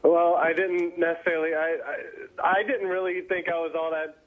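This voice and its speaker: A man's voice: on the high side, level tone, normal speed, phone-quality sound. A man who stammers and trails off, sounding unwell and worn out. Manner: hesitant, stammering, mumbled. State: unwell, fatigued.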